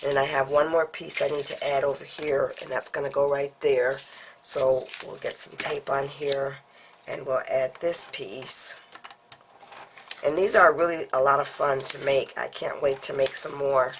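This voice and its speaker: A woman's voice: rumbling low, measured pace, a little hiss or hum. A woman with a congested, stuffy voice, getting over a cold, chatting through a step-by-step papercraft demonstration as host of her channel. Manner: chatty, explains each step while working.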